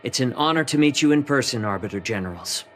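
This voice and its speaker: A man's voice: mid-range voice, expressive, fast talker, close to an everyday voice. A man voicing a courteous retainer. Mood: polite. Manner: courteous, formal. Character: polite male retainer, formal and composed. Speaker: retainer, messenger. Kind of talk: game voice acting.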